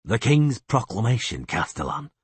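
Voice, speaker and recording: A man voicing a calm, terse monster hunter who speaks quietly and briefly. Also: medium pitch, normal speed, breathy edge, no room sound.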